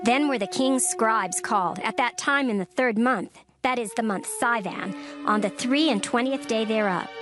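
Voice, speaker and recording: Woman narrating scripture over music. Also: fairly high pitch. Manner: measured, formal reading pace. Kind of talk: dramatized scripture reading.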